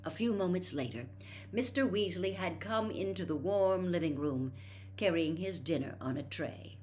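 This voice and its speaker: A woman reads a story aloud in a fairly low register. She delivers scripted storybook narration. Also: expressive, normal speed, darkish tone, a little hiss or hum. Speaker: audiobook reader.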